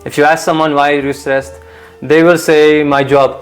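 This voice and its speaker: Young man explaining calmly. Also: on the high side, faint music behind. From Iraq, raised in the Netherlands. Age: young adult. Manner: measured, reasoned explanations with rhetorical questions. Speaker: guest, full-time volunteer. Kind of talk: calm explanatory interview talk.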